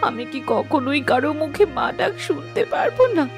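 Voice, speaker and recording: A woman's voice: high-pitched, sing-song, normal speed, over music. A woman voicing a yearning, plaintive childless village wife in a melodramatic cartoon fairy tale. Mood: sorrowful. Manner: pleading, plaintive.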